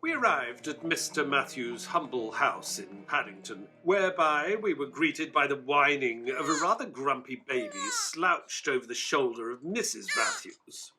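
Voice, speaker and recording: Man playing a polite, animated Victorian doctor. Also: medium pitch, moderate pace, few pauses, music in the background, close to an everyday voice. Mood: narrating. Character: affable, polite Victorian doctor, detective's companion, narrating. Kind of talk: audio drama narration and dialogue.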